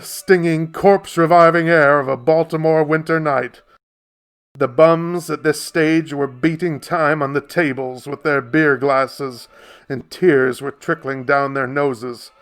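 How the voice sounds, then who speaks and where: A man playing a wry, literate storyteller, narrating a radio play in ornate, deliberate phrases. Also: a little high, close to an everyday voice. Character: wry, literate storyteller recalling old Baltimore nightlife.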